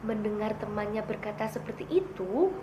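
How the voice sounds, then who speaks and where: A young woman telling a children's fable in an expressive storytelling style. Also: mid-range voice, moderate pace, noisy background.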